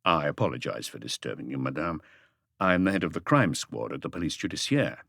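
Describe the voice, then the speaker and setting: A calm police chief inspector, played by a male audiobook narrator, very low in pitch and closely recorded with little room sound. He is courteous and measured, with a terse authority. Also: vocal fry, dry recording, clean studio sound, heavily acted. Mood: polite, restrained. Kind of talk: audiobook character voice.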